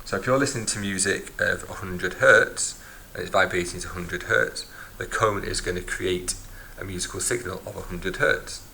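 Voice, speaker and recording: A man's voice: sits low, normal speed, gravelly, sharp tone, slight background noise. A man teaching a physics lesson, explaining step by step and recapping as he writes in a notebook. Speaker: science teacher. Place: at a desk, filming a notebook from above.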